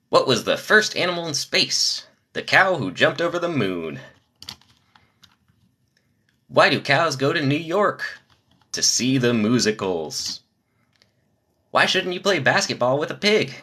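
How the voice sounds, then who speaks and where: Young man, live-stream joke marathon, bright lively voice, low from the diaphragm. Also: husky. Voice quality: speaking low from the diaphragm to spare his nasal voice. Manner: rapid-fire joke delivery, lively.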